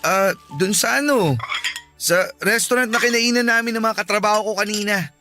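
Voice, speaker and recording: Cheating boyfriend, radio drama, very high male voice, glib, dismissive, music underneath. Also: moderate pace. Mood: casual, attentive. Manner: dismissive, defensive. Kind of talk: Tagalog radio drama acting. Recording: music behind the voice.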